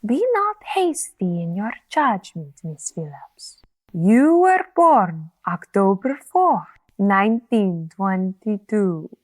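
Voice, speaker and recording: A woman's voice: mid-range voice, very animated, slow pace, a little mellow. A woman playing a solemn, theatrical phony psychic with a grandiose, mystical delivery in a comedy audio drama. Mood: solemn, mysterious.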